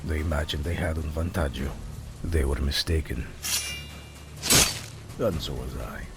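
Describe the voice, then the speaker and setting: A man playing a seasoned, proud and loyal Italian assassin in an audio drama, measured, dropping Italian words into his talk. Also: a bass voice. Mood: grave, proud. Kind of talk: scripted audio drama dialogue. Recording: music behind the voice.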